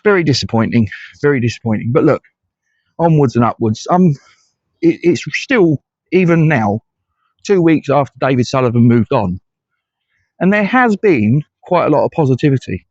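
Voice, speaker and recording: A man's voice: mid-range voice, expressive, normal speed, pauses often, a little mellow, no room sound, dull audio. A middle-aged man from England giving blunt, conversational solo commentary as a fan channel host, with frequent hesitant restarts.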